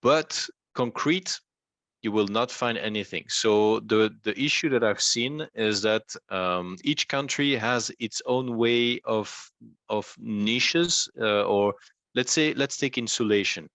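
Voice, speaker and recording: Low-voiced man, close and dry. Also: slow pace, a bit bright, no echo. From Belgium. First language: not English. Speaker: interview guest, rank and rent website entrepreneur. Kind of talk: explanatory conversational talk.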